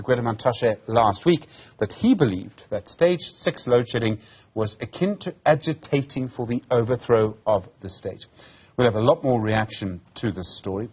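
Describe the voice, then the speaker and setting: A man in his fifties with a slightly husky voice, presenting and interviewing on live television news. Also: mid-range voice, dull audio. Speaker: news anchor.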